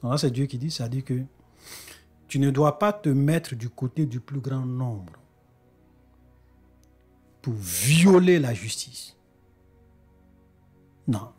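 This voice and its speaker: A man's voice: mid-range voice, expressive, slow, pauses often, a little mellow, breathy edge, soft background music. A man with a cold preaching to his brothers and sisters in an earnest spoken sermon, often restarting his phrases. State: congested with a cold.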